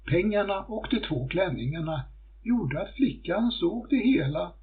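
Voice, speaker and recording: A man's voice: high voice, animated, breathy edge. A man reading a scripted folktale as a storyteller. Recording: muffled phone-like audio.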